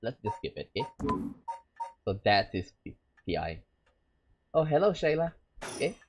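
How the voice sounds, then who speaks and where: A man's voice: lowish voice, big pitch swings, normal speed, music in the background. A man from Malaysia, hosting a let's-play as a VTuber, rambling with plenty of fillers between reading the game's text. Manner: rambling, full of fillers like "like" and "you know". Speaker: VTuber gaming host.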